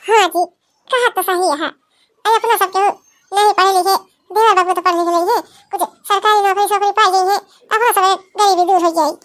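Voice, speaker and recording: A woman's voice: very high-pitched, steady tone, normal speed, fairly bright tone. A woman playing a dutiful young village daughter-in-law in a cartoon, earnest and fretful, with an Awadhi accent. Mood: hopeful. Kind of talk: cartoon village comedy dialogue.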